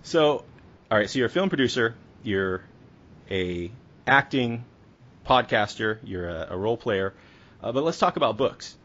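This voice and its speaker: A man's voice: medium pitch, expressive, normal speed, long pauses, a bit bright, highs cut off. A man working as a podcast interviewer, asking questions in a conversational style.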